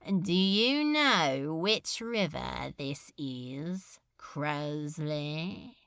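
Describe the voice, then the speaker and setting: A woman's voice: low voice, animated, very slow, a bit bright, clear, resonant tone, no room sound, studio quality, voice pushed down, full character voice. A woman voicing a cold, bored male demon lord in a storybook reading, speaking dreamily and tauntingly in archaic phrasing. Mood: bored menace.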